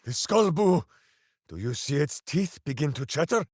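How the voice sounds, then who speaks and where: An actor voicing a hearty, simple-minded, boastful heroic berserker in a video game, with a bombastic, theatrical way of talking. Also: male voice, a little high, sing-song, moderate pace, a little mellow, no room sound, clean studio sound. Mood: hearty, blustering. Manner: bombastic, theatrical, speaks of himself in third person. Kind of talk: video game character voice lines.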